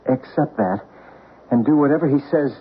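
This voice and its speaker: An actor playing an anxious, earnest homeowner in a 1970s radio drama. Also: male voice, medium pitch, normal speed, natural voice. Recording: tinny 1970s radio broadcast with faint noise.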